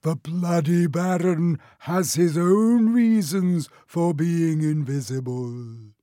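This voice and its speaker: A hoarse, croaking ghostly baron. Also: male voice, fairly high pitch, slow pace, dark tone, no room sound, studio quality, voice pushed up. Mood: stern, menacing. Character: boy faking a commanding, menacing ghostly baron.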